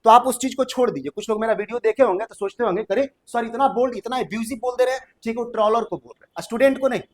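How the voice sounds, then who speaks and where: High-pitched Bihari man teaching. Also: rapid-fire, a little mellow. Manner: emphatic, repetitive, with colloquial tag phrases. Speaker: physics coaching teacher. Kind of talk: informal exam-coaching lecture.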